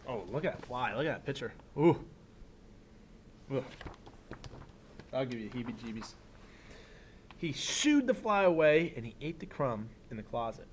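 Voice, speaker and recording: A man teaching young children, reading a picture book aloud expressively and pausing for simple explanatory asides. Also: medium pitch, big pitch swings, a little hiss or hum. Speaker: elementary school teacher.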